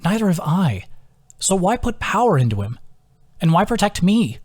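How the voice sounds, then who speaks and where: A man's voice: high voice, big pitch swings, normal speed, dry recording, clean studio sound, pitched above the performer's own voice. A man voicing a thoughtful, frank young woman adventurer with a wry, questioning manner in an audiobook.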